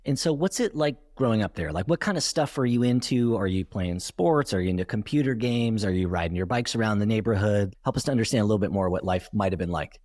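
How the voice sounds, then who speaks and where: A man's voice: fairly low pitch, animated, fast talker, hardly any pauses, a little mellow, no echo, clean studio sound. A man from the East Coast hosting a podcast, introducing his guest and asking upbeat questions. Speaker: podcast host.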